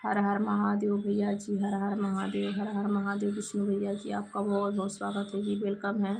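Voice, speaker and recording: A woman hosts a casual live stream, her voice hoarse and gravelly, her pitch held almost level. She greets one viewer after another by name in an easy, chatty way. Faint background noise sits under the voice. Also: monotone, normal speed. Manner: reads out viewer names and greets them warmly. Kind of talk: casual live chat reading comments.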